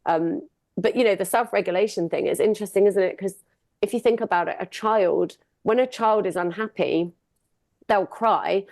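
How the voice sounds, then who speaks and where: Woman, from the UK, middle-aged, upbeat, chatty, medium pitch, dry sound. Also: moderate pace, creaky, a little mellow, dry recording. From United Kingdom. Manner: upbeat, chatty, laughs often. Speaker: podcast guest, singer and sound healer. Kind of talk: casual conversational interview.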